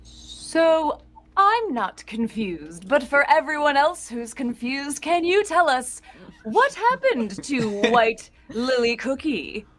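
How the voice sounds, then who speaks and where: Woman as warm-hearted young game heroine, high voice, animated intonation, unhurried, inquisitive. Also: exaggerated voice. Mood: curious. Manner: expressive, questioning. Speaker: performer in a live table read. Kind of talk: acted live table read.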